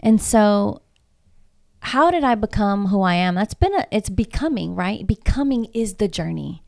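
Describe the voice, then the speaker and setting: A woman's voice: mid-range voice, animated, measured pace, gravelly, a little mellow. A woman from Houston, Texas, whose first language is Spanish, talking as a podcast guest, emphatic and reflective and full of rhetorical questions. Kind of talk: casual podcast conversation.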